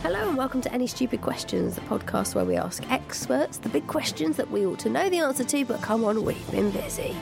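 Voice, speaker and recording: A woman hosting a podcast in a playful, jokey way, her voice croaky today. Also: fairly high pitch, animated, brisk, hardly any pauses, music in the background. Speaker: podcast host. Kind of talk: casual comic chat.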